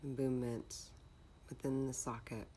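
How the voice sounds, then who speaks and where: A woman leading a guided meditation in a calm, measured, instructional manner. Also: extremely low pitch, slight background noise.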